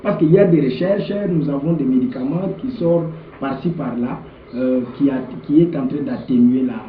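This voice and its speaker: A man's voice: medium pitch, normal speed. A man from the Democratic Republic of the Congo with a Congolese accent, answering an interviewer as a political leader in an emphatic way, repeating words. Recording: echoey, muffled, faint background noise.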